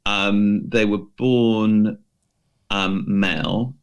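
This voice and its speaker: A man's voice: fairly low pitch, steady tone, very slow, vocal fry, clean tone. A man co-hosting a podcast, telling a history story casually and unscripted, in a hesitant, loosely phrased way with some hedging. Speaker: podcast co-host presenting a history feature.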